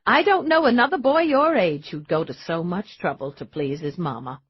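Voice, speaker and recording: A woman's voice: lowish voice, normal speed, husky, dry recording. A woman voicing a cheerful, hospitable middle-aged wife and mother who talks in a sweet, folksy way, in a dull lo-fi audiobook recording. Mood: sweetly folksy.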